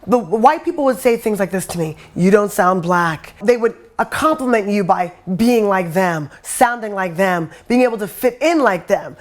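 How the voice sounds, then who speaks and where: Middle-aged American woman, average pitch, speaking openly as an interviewed performer. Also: animated, normal speed, hardly any pauses. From suburb outside New York City, United States. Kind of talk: candid personal interview.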